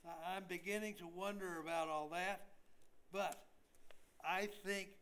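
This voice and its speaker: A man playing a flustered, self-pitying hospital patient who talks haltingly and complains, in a staged comedy reading. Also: high-pitched, steady tone, fairly bright tone, a little hiss or hum. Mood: puzzled, rambling. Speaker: amateur actor in a staged reading.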